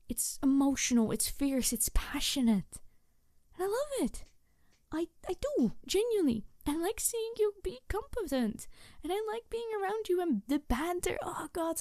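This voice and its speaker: A snarky supervillainess , acted by a woman, speaks in a fairly high voice on a very dry recording. She is passionate and easily flustered, sardonic yet secretly tender, talking haltingly to her nemesis in an ASMR audio drama. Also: darkish tone, no echo. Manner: halting, sighing, emotionally candid, sardonic. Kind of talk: ASMR audio roleplay monologue.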